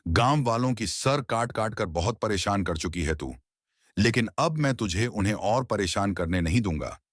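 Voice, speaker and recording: A man's voice: lowish voice, lively intonation, moderate pace, dry recording, clean studio sound. A man playing a self-assured, solemn village tantric in a dramatized horror story. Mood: stern. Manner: solemn, declarative.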